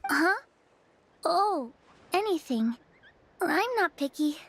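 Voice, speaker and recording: A timid young girl in a video game, soft-spoken and hesitant, often stammering. Her voice sits very high and she speaks slowly. She sounds unsure of herself. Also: expressive, a little hiss or hum, full character voice. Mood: shy.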